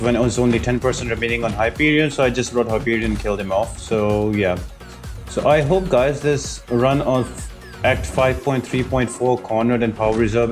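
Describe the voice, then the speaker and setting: A man giving an informal, explanatory game walkthrough as a video host, talking directly to viewers. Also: mid-range voice. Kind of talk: casual game walkthrough commentary.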